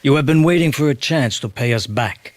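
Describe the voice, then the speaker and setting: Menacing mafia boss played by a man, mid-pitched. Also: a little fast, dry recording, close to an everyday voice. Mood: coolly menacing.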